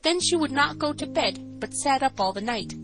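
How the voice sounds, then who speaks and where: A woman's voice: sits high, expressive, normal speed, over music, voice pushed up, rough recording. A woman voicing an anxious, trembling assistant matron earnestly giving testimony in an audiobook.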